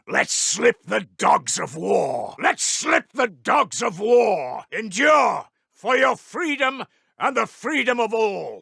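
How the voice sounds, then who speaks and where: A man's voice: sits high, gravelly, bright, forceful tone, slightly rough, dry recording, studio quality, full character voice. A man playing a stern, zealous wartime intelligence officer in a strategy game, giving formal, clipped, martial announcements. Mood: rousing, defiant. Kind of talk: scripted game unit announcements.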